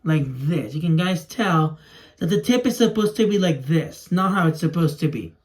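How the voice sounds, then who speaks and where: A man's voice: on the high side, lively intonation, moderate pace. A young man who speaks Spanish natively, chatting in a casual, rambling way as he demonstrates something to camera. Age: young adult. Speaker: toy video creator. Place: small room indoors at home. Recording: clear background noise and clicking.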